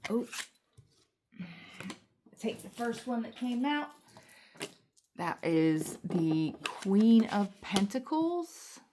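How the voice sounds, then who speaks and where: A woman doing a casual spiritual tarot reading, speaking haltingly as she goes through the cards. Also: a little high, big pitch swings, noisy background. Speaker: tarot card reader. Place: indoors at a table, away from home.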